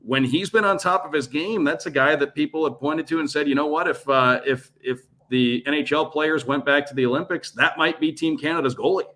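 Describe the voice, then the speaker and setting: A man's voice: a little high, brisk, hardly any pauses, a bit bright. A man who works as a radio play-by-play broadcaster, guesting on a casual sports podcast and talking through his analysis in long, flowing runs.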